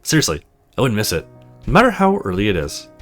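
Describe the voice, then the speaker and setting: A man's voice: on the low side, huge pitch swings, quick pace, a bit bright, faint music behind. A man voicing a protective, teasing young sibling in a visual novel, in a casual, playful way. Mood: teasing, affectionate.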